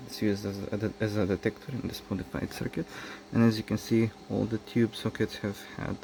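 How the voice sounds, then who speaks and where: A man giving casual, low-key narration as he shows a repair, speaking haltingly. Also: level tone, creaky, dark tone, slight background noise. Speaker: hobbyist radio restorer.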